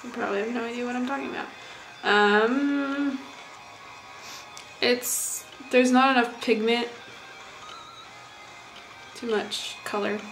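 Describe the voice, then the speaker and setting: A woman's voice: a little high, fairly even intonation, slow pace, long pauses, a bit bright, rough recording. A young adult woman rambling casually through a makeup tutorial, muttering offhand as she works in a small bathroom. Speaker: vlogger demonstrating makeup effects. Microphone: camera a short distance away. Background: music playing.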